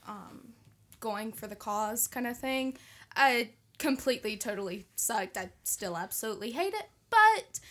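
A woman's voice: a little high, fairly bright tone. A woman chatting casually as a panelist on a podcast. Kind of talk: casual chat.

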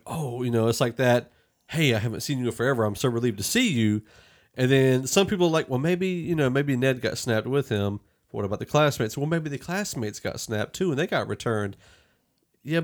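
A man hosting a podcast, talking through a film review in a rambling, conversational way. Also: mid-range voice. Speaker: podcast host. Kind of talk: casual movie review discussion.

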